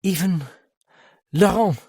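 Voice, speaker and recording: A woman's voice: lowish voice, lively intonation, slow pace, creaky, husky, dry recording, clean studio sound, voice pushed up, heavily acted. A man voicing a proud, desperate woman who cries out with passion, bitter and near tears, in a read-aloud story.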